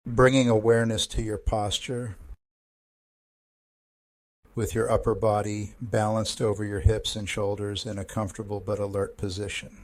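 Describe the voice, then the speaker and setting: A man leading a guided meditation as a counselor, speaking in a calm, measured, gentle instructional way with pauses. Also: fairly low pitch, no room sound.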